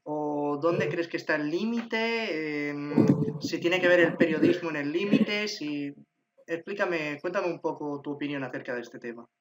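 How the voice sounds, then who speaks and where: A man's voice: on the high side, a little slow, rough recording. A man asking interview questions in a casual way, hesitant and searching for his words. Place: remote call.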